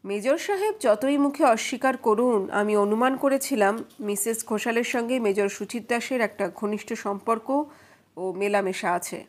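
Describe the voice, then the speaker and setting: A confident detective from an audio mystery, read by a woman, in a fairly high voice. The delivery is deliberate and assured, laying out reasoning patiently. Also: lightly acted.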